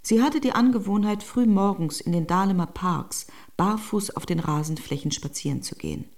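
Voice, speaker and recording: An actress playing a daughter-in-law who fondly recounts family memories in a composed, anecdotal radio drama monologue. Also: female voice, medium pitch, normal speed, a little mellow, natural voice.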